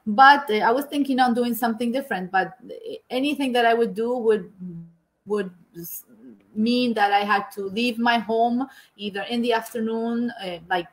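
Halting woman. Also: fairly high pitch, rough recording. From Argentina. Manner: hesitant, many repeated words and "you know". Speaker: guest, engineer. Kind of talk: video-call interview. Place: home room indoors. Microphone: video call.

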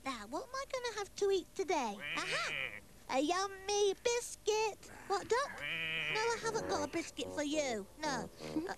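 A woman's voice: very high-pitched, big pitch swings, moderate pace. A woman voicing a cheeky, childlike girl rag doll in a children's television puppet show, speaking in simple exclamations. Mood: playful.

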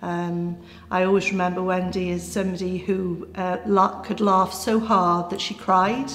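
A woman in her sixties, a former netball player and coach, speaking earnestly with many pauses and fillers in a seated interview indoors. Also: on the low side, little pitch movement. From Wales. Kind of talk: reflective interview. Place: indoor corridor. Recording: echoey hall, soft music beneath.

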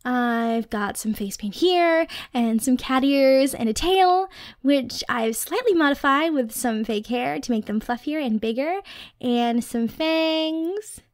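High-pitched, dorky, playful young girlfriend, acted by a woman. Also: animated, slow, dry recording, clean studio sound. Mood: eager, playful. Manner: chatty, teasing, nerdy rambling. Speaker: roleplay voice actor. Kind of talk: ASMR girlfriend roleplay, casual one-sided chat.

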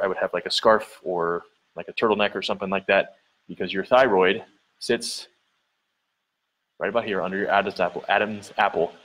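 A man's voice: lowish voice, fairly bright tone, rough recording. A man in his thirties, a gym owner and trainer, explaining earnestly with lots of fillers and repetition while walking through a large dim indoor gym, recorded on a camera mic held at arm's length. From Central Ohio, US. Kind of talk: casual walkthrough vlog. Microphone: handheld camera mic at arm's length.

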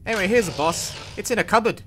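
A man's voice: high voice, expressive, fast. A young adult man giving casual speedrun commentary on a video game as a streamer. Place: indoor room at home. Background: loud game music.

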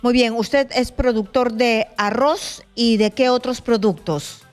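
A middle-aged woman anchoring a news interview from a studio, asking brisk, direct questions. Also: on the high side, faint music behind. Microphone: desk mic.

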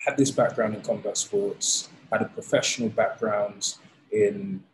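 A man, telling his story in a relaxed, animated way on a video call interview. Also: lowish voice, unhurried, a little hiss or hum, poor audio. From England. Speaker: founder of a fighting league, guest. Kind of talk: casual interview. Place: indoors, plain room. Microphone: studio mic on arm, video call.

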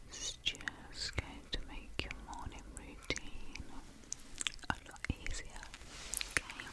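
A woman in her twenties playing a gentle brow salon technician in an ASMR roleplay, whispering slow, soft, reassuring instructions into a close mic. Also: natural voice. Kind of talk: ASMR personal attention roleplay. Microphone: close sensitive mic, camera up close.

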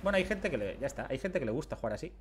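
A man's voice: medium pitch, very animated, very fast, breathy edge. A man, commenting casually on his game through a headset mic, chatty and self-mocking. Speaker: game streamer. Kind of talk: casual live commentary on gameplay.

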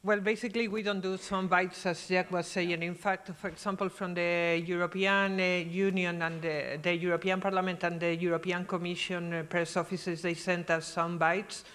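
Direct woman with a flat voice. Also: fairly low pitch, moderate pace, few pauses. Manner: direct, brief, non-native phrasing. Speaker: panel member for radio broadcaster. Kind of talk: panel discussion answer. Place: conference function room.

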